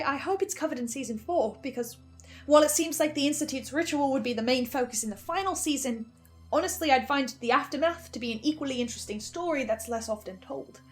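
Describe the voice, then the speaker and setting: A woman acts an anguished, self-doubting female broadcaster in a dramatic monologue. The voice sits fairly high and moves haltingly, with intense self-corrections. Music plays underneath. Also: lightly acted. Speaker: broadcaster reacting to a fiction podcast.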